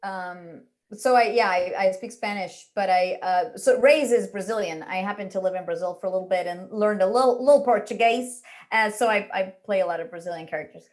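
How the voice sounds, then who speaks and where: A woman's voice: mid-range voice, brisk, hardly any pauses. A woman from Colombia, a voice actor, chatting informally with hesitant phrasing. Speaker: voice actor in a casual panel chat. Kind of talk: casual chat.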